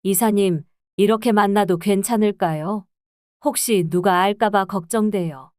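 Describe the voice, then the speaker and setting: A woman's voice: mid-range voice, measured pace, no room sound, studio quality, lightly acted. A woman voicing a timid housekeeper in her sixties. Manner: hesitant, soft, deferential.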